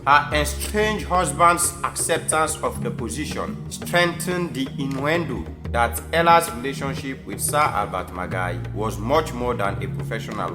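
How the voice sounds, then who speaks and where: A young male narrator with a mid-pitched voice. He reads a scripted life story in a formal, measured manner, as in an audio drama. Music plays clearly behind his voice. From Sierra Leone. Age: young adult.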